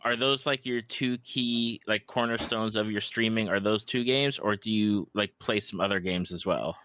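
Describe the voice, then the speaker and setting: A man's voice: on the low side, normal speed, pushed and bright, a little hiss or hum. A man hosting a podcast, asking friendly questions with stumbling restarts. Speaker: podcast host. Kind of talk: casual interview.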